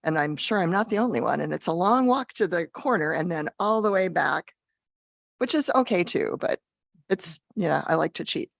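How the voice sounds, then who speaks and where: A woman on a budget committee with a hoarse voice, chatty and blunt as she asks questions at a public meeting. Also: lowish voice, lively intonation, normal speed, no room sound, clean studio sound.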